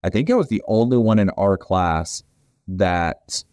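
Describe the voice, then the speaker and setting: Low mellow-voiced man in his forties. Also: animated, a little slow, no echo, clean studio sound. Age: 40s. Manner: friendly, rambling with restarts. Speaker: guest, company founder. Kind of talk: casual interview answers.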